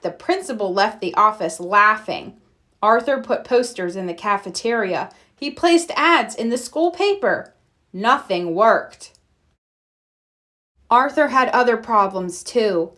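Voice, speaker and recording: A woman narrating a children's storybook aloud in an expressive read-aloud style. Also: medium pitch, a little slow. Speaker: storybook reader.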